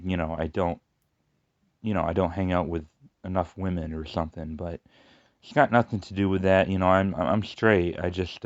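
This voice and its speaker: A man with a lateral lisp, rambling through a casual personal monologue with halting restarts and fillers. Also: low voice, no echo, dull audio. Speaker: YouTuber.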